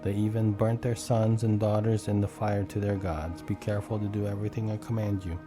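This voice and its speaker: A man with a fairly low voice reads scripture from a script. Instrumental music plays clearly behind him. He now and then trips on a word as he goes through the verses. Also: flat delivery, fairly quick. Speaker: scripture reader. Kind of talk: Bible reading, scripted narration.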